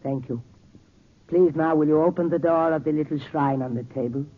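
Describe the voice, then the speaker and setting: A man's voice: fairly high pitch, moderate pace, lightly acted. An actor playing a proud, polite old man in a 1940s radio drama, speaking with formal courtesy. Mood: courteous, calm. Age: older. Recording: tinny, noisy 1940s radio recording.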